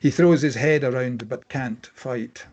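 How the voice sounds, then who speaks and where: A man with a husky voice reading scene headings and stage directions as scripted narration at a table read. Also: mid-range voice, measured pace, a little mellow.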